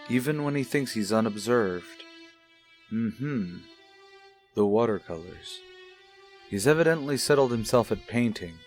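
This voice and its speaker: An actor playing a kindly child psychologist in a mid-century radio soap opera, speaking in a measured, coaxing way. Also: male voice, fairly low pitch, lightly acted. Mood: quiet, musing. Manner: measured, coaxing, gently probing. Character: kindly, probing male child psychologist, a father. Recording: vintage radio recording with music.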